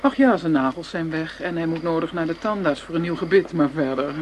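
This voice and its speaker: An actress playing a cold, commanding criminal ringleader in a radio play, silky and mocking, on a noisy old recording. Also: female voice, low voice, brisk, darkish tone, natural voice. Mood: mocking, menacing. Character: cold, menacing female criminal ringleader, seductive and cruel.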